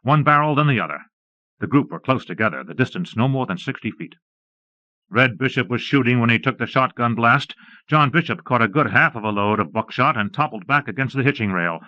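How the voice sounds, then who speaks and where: A man's voice: mid-range voice, lively intonation, brisk, bright, forceful tone, no room sound. Male audiobook narrator for Westerns and history; mid-range voice, lo-fi tape sound, very dry. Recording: dull lo-fi cassette transfer.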